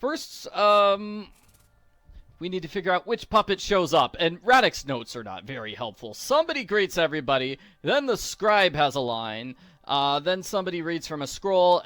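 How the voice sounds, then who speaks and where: A man's voice: fairly high pitch, a bit bright, no echo. A man giving a casual, playful commentary as he walks through a video game. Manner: playful, jokey. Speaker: video game walkthrough host. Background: faint game music.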